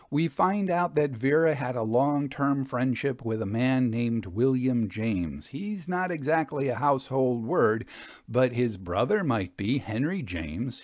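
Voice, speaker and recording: A man with a mid-pitched voice tells and discusses stories in a measured, articulate way. The recording is dry and close, suited to audiobook narration. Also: a little mellow, no room sound. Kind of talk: scripted audiobook narration and commentary.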